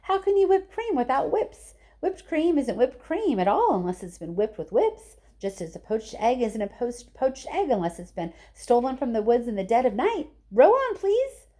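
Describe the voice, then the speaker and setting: Woman putting on an excitable, bossy chocolate maker, swooping pitch. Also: normal speed, hardly any pauses. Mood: brisk, dismissive, then urgent, commanding. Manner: exclamatory, commanding. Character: excitable eccentric chocolate maker, grown man, gleeful and bossy.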